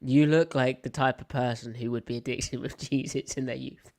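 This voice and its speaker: A man's voice: medium pitch, fairly even intonation, normal speed, vocal fry, a little mellow. A young British man hosting a live sports podcast, chatting in a playful, opinionated way, a little snuffly from a slight cold. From United Kingdom. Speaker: podcast host. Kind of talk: casual live podcast chat.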